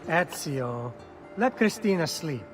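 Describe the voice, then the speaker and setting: Young brother, loud music behind. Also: male voice, on the high side, lively intonation, slow. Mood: relaxed. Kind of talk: video game cutscene acting.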